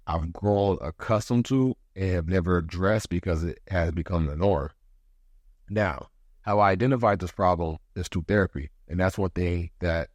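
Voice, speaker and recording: Deep-voiced man in his thirties hosting. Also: no room sound. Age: 30s. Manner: reflective, pauses to gather thoughts. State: congested from allergies, voice deeper than usual. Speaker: podcast host. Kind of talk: casual solo podcast monologue.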